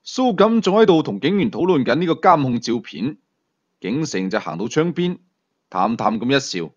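A man's voice: mid-range voice, very animated, moderate pace, dull audio. A man reading a thriller story as dramatic scripted narration. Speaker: audiobook storyteller.

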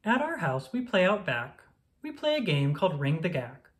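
Woman, low pitch, very animated intonation, playful sing-song read-aloud, children's storybook narrator. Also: normal speed. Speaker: children's book reader. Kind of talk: expressive read-aloud of a rhyming picture book.

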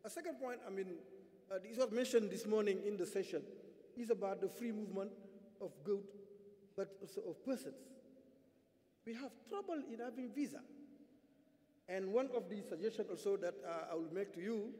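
A man from Senegal moderating a conference panel from a podium in a large hall, emphatic and halting, with many fillers. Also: sits high, mellow. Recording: hall reverb.

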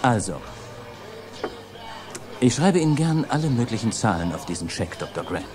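Actor as eager middle-aged businessman pitching hard. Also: very animated, moderate pace, over music, natural voice. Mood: persuasive. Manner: hesitant fillers, ingratiating salesman talk. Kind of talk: German-dubbed film dialogue.

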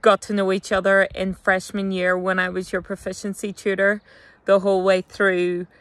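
Woman teacher chatting friendly, flat-ish pitch, dry close sound, phone at arm's length. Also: normal speed, no room sound. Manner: warm, chatty, laughs mid-sentence. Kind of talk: recorded video tribute. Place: outdoors, open countryside.

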